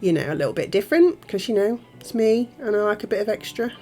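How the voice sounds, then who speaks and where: A woman's voice: mid-range voice, big pitch swings, a little fast, music in the background. A woman with a nasal voice from a cold, hosting a nail art tutorial in a chatty way with giggly asides. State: has a cold. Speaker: nail art channel host. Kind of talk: casual tutorial narration.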